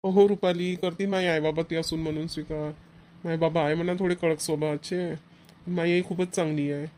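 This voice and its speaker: A man's voice: fairly high pitch, fairly even intonation, brisk, darkish tone, a little hiss or hum, lightly acted. A man voicing village sons and husbands in a cartoon comedy, with a rural Vidarbha Marathi accent. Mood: reassuring. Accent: Maharashtrian, rural Vidarbha Marathi. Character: village men, dutiful sons and put-upon husbands.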